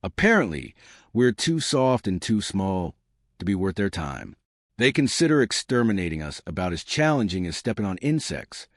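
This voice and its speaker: A man's voice: sits low, very animated, normal speed, no room sound, clean studio sound, full character voice. A man voicing a small, fierce woman starship captain in a sci-fi story, confident and sarcastic.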